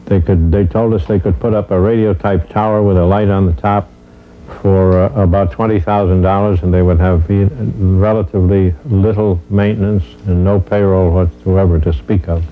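A man's voice: low-pitched, flat delivery, normal speed, dark tone, poor audio. A man in his seventies explaining things in an interview, recorded indoors on a lapel mic. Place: indoor room.

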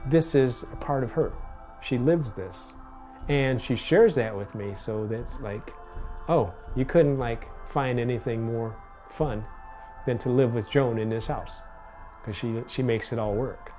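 Enthusiastic man with a warm mellow voice. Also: mid-range voice, a little slow, faint music behind. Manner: enthusiastic, rambling with "like". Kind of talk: casual interview. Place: indoors by large windows. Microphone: lapel.

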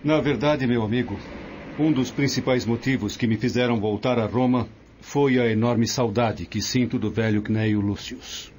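An actor plays a proud, affectionate Roman nobleman in a radio drama. His mid-pitched voice is formal and declamatory, with faint ambient noise behind. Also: moderate pace, darkish tone, lightly acted. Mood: proud, fond.

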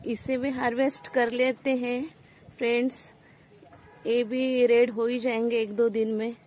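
A woman's voice: fairly high pitch, level tone, unhurried, a little mellow. A woman vlogging outdoors on her terrace garden, filming with a phone and showing viewers her harvest in a casual, friendly walkthrough. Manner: addresses viewers as friends, points things out. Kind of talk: casual show-and-tell walkthrough. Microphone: phone camera held while filming.

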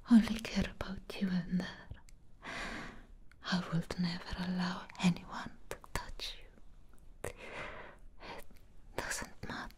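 A woman voicing an ancient, grave and protective elder vampire sister in a breathy, dramatic whisper for an ASMR roleplay. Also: lowish voice, a little mellow. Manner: slow, dramatic, intense delivery. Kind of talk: soft-spoken ASMR roleplay.

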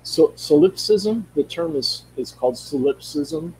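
A man's voice: fairly high pitch, expressive, measured pace, a little mellow, slight background noise, rough recording. A middle-aged man with a Minnesota accent who rambles and restarts as he talks over a video call from a room at home. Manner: rambling, searching for words, many restarts. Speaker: guest. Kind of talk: casual conversation. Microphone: studio mic on video call.

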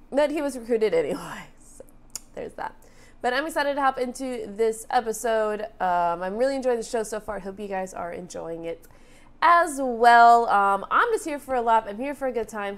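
A young woman with a stuffy, nasal voice from allergies, chatting casually and excitedly to camera as a reaction channel host in a small room. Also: mid-range voice. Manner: chatty, lots of fillers, excitable. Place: small bright room indoors.

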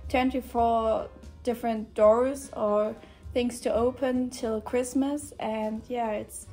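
A young German woman of eighteen, chatting in English with a German accent and leaning on "like" and "yeah". Her voice sits a little high but barely rises or falls, mellow and soft in tone. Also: slow pace, soft background music. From northern Germany. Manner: relaxed, many fillers like "like" and "yeah". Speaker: guest volunteer. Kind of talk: casual chat on camera. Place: indoor room with shelves.

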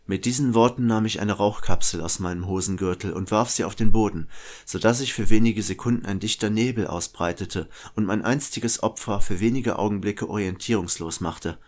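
A man's voice: fairly low pitch, a little fast, lightly acted. A man playing a brooding, conflicted killer who narrates introspectively in an audio drama. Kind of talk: German audio drama acting and first-person narration.

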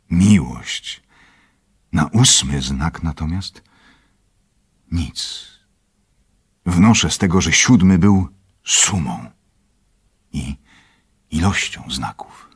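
An actor playing a scholarly, secretive doctor obsessed with power in a radio drama, speaking in a measured, clinical way. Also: male voice, a bass voice, husky, heavily acted. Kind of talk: Polish radio drama acting.

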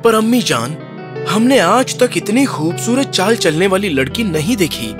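A gallant young cartoon prince, courteous and formal. The delivery is very animated, over background music. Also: male voice, high voice. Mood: pleading. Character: polite, gallant young fairy tale prince. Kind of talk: children's animated fairy tale voice acting. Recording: music under the voice.

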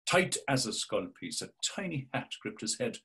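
Husky older guy reading narration slow and deliberate on a video call. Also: mid-range voice, very animated, fairly bright tone. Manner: measured, deliberate storytelling. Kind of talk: scripted literary narration. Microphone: webcam on a video call.

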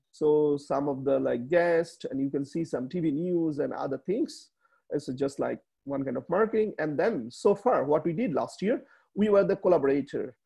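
Gravelly-voiced man with Bangladeshi accent. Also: on the high side, warm tone. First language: Bengali. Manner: halting, many fillers and restarts. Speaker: webinar speaker. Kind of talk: slide presentation. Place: small room indoors. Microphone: video call.

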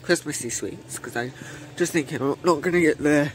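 A man's voice: fairly high pitch, moderate pace. Rambling young British man, noisy recording. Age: 16, teenager. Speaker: student vlogger. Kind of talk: casual day-in-the-life vlog chat. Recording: clearly noisy amateur handheld recording.

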